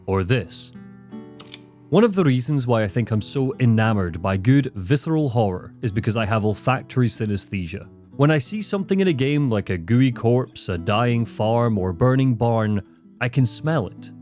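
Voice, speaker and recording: Man, mid-pitched, scripted essay narration, very expressive intonation, dramatic deliberate phrasing. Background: faint background music.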